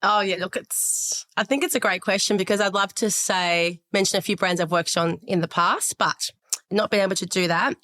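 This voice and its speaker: A woman from Chile raised in Australia, a podcast guest talking through her career in a thoughtful, measured way with frequent fillers. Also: medium pitch, bright, forceful tone. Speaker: podcast guest, sales director. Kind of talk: conversational interview.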